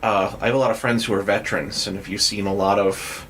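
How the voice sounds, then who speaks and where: A man's voice: on the low side, steady tone, fast, fairly bright tone, slight background noise. A man hosting a casual interview, asking questions in a tentative, roundabout way. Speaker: podcast host, interviewer.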